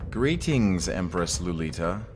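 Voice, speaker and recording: Stern human leader, acted by a man, very low pitch, measured, protective, fantasy drama, soft music. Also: sing-song. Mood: grave courtesy. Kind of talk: fantasy audio drama acting.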